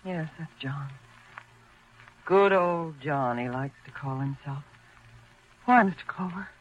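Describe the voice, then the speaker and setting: An actress playing a bitter, composed housewife with a controlled, wry delivery in 1940s radio drama style. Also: female voice, low-pitched, takes it slowly. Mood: guarded, wry. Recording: muffled vintage 1940s radio recording.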